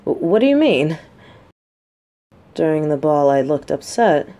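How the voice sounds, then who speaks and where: A woman's voice: sits low, sing-song, measured pace, dark tone, heavily acted. A woman playing a guarded, sarcastic but secretly vulnerable lieutenant and bodyguard in an intimate audio roleplay. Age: adult. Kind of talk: scripted roleplay audio drama, addressed to listener.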